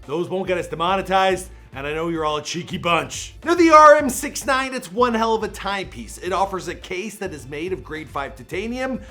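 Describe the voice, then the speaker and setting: Punchy, bright-voiced Toronto host. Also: male voice, high-pitched, animated, a little fast, few pauses, faint music behind. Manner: jokey, slangy, punchy delivery. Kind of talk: energetic countdown commentary.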